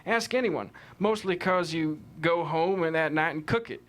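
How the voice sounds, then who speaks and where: A man's voice: fairly high pitch, moderate pace, a bit bright, close to an everyday voice. A man playing an indignant, plain-spoken cattle rancher giving folksy, emphatic testimony in a courtroom drama table read. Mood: insistent.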